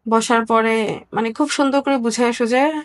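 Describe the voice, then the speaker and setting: Female interview guest, fairly high voice, from Bangladesh, candid, halting personal storytelling. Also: steady tone.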